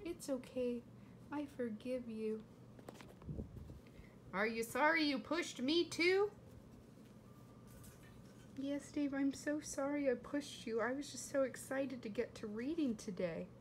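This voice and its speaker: A woman voicing gentle toy friends in a puppet-style play for children, with soft, simple phrasing. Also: sits high, slow, long pauses, a little hiss or hum. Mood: forgiving.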